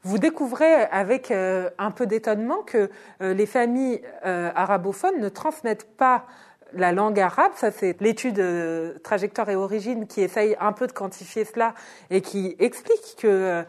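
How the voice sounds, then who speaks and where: A woman hosting an interview, restating the guest's work before asking her questions. She speaks at a middle pitch with frequent hesitations. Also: moderate pace. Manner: summarizing, hesitant fillers. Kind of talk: radio interview.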